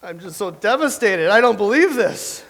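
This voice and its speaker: An actor playing a gloomy playwright from an older era who talks in a mournful, self-pitying way in a staged play reading. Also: male voice, sits high, lively intonation, moderate pace, vocal fry, fairly bright tone, full character voice. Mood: mopey.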